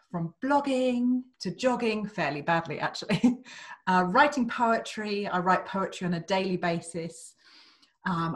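A British woman co-hosting a conversational podcast as a life coach, effusive and quick to laugh. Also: mid-range voice. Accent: British English. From Britain. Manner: warm, effusive, laughs often. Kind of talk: casual conversation.

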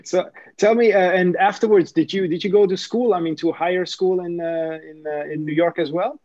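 A man hosting a podcast interview, talking in halting phrases with many fillers and restarts. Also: high-pitched, fairly even intonation, darkish tone, no room sound, lo-fi sound. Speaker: podcast host. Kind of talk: casual interview.

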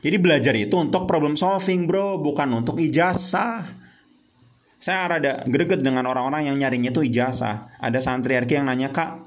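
A man's voice: on the high side, a little fast. Fired-up preachy young man. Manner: impassioned, preachy, mixes English terms and Arabic phrases. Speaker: founder of a youth Islamic movement. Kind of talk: motivational talk.

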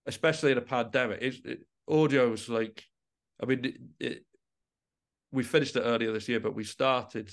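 A man chats casually as an interview guest, in a voice of ordinary male pitch. He comes across as enthusiastic about his work, yet informal and self-deprecating in how he puts it. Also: fairly even intonation, normal speed. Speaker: guest, musician and composer. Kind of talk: casual podcast interview chat.

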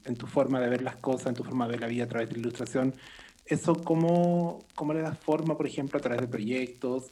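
A man's voice: medium pitch, a little mellow, low-quality recording. A man hosting an interview podcast, politely welcoming his guest and drawing her out with questions. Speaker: podcast host.

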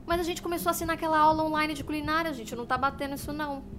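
An actress playing an excitable, defensive inner voice of a young woman, with a Brazilian accent, in an audio drama. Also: sits high, rapid-fire, close to an everyday voice. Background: music behind the voice.

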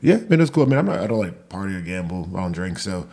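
Fast-talking deep-voiced young man. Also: vocal fry. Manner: relaxed, rambling, many fillers. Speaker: guest, amateur MMA fighter. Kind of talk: casual podcast interview.

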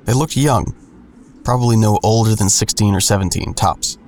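A man's voice: lowish voice, moderate pace, a little mellow, natural voice. An actor playing a jaded man who narrates his own story in an audio drama with wry, sardonic delivery. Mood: wry, brooding. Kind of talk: first-person noir-like audio drama narration.

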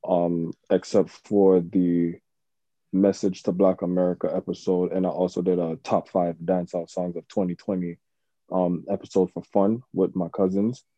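Jamaican podcast host, low and very dark voice, laid-back with lots of "um"s, dull dry recording. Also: male voice, moderate pace, no echo. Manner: relaxed, many fillers and restarts. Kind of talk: casual interview chat.

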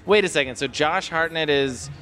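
A man's voice: fairly high pitch, moderate pace, a crowd in the background. A man in casual chat, talking in a hesitant, rambling way.

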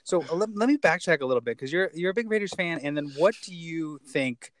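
A man's voice: a little high, lively intonation, brisk, dry recording. A man hosting a casual podcast interview, playful and wisecracking. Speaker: podcast host.

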